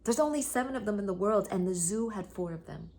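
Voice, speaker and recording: A woman playing a traumatised, lonely young woman in a theatre monologue, halting and intense, often slipping into a breathy hush. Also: medium pitch, lively intonation, lightly acted. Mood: wistful, wondering.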